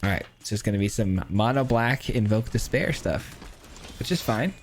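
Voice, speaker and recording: A man with a hoarse, cracking voice gives casual commentary on his own card game, counting aloud and thinking through his plays. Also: on the low side, lively intonation, normal speed, a little hiss or hum. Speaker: gameplay streamer. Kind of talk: casual live gameplay commentary.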